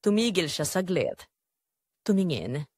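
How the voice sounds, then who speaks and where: A woman's voice: on the low side, sing-song, a little slow, a little mellow, husky, dry recording, clean studio sound. A woman with a Filipino accent reading a scripted first-person story as the narrator of an audio drama.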